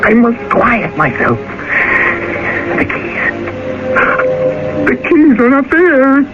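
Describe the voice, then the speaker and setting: A man acting in a radio drama as a panicked young student murderer, muttering to himself in halting, breathy fragments. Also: extremely high pitch, big pitch swings, exaggerated voice. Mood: panicked, furtive. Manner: halting, feverish, breathy self-talk in short fragments. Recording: muffled radio recording with loud music.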